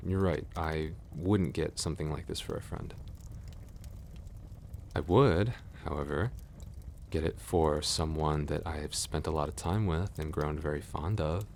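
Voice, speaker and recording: Man acting as very deep-voiced, tender boyfriend. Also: unhurried, slight background noise, heavily acted. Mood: nervous, warm. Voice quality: very deep, creaky. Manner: soft, hesitant, tender and reassuring. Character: gentle, nervous best friend confessing love, adult man. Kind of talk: ASMR boyfriend roleplay.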